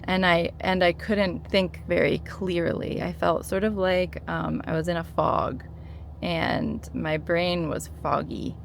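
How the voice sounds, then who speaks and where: Gravelly-voiced woman in her thirties, encouraging. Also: lowish voice, steady tone, takes it slowly, a little hiss or hum. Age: 30s. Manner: earnest and encouraging, with many fillers and restarts. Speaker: vlogger sharing her withdrawal experience. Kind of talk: casual talk to camera.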